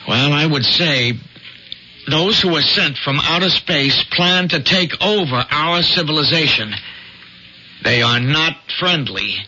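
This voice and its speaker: Male earnest, haunted believer, halting delivery, slightly high sharp voice, dull noisy vintage radio drama. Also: lively intonation, heavily acted. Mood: awed, ominous. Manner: halting, stammering, solemn. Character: earnest, obsessed UFO watcher reporting alien abduction. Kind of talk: 1970s radio drama acting.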